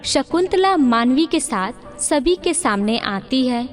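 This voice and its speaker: Unhurried high-voiced woman storyteller. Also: expressive. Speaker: narrator. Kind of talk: scripted cartoon moral-story narration.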